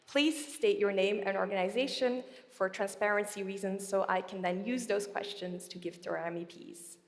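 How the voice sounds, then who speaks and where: A woman opens a live event with polished, announcer-like ease, her voice sitting at an ordinary pitch. She speaks from a large museum hall, and a long reverb trails each phrase. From Belgium. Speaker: event host and correspondent. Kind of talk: formal live event hosting.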